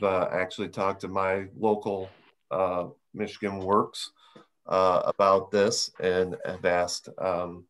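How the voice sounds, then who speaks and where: A young man, a state lawmaker, speaking earnestly on a panel over a video call with frequent restarts. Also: low-pitched. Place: bare room indoors.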